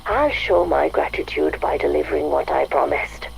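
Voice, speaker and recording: A woman playing an arrogant, coldly ambitious scientist dictating a game audio log, measured, self-satisfied and contemptuous. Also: low voice, lively intonation, vocal fry, full character voice, poor audio. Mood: smug resolve. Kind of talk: scripted audio log monologue. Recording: faint noise, recorded audio log.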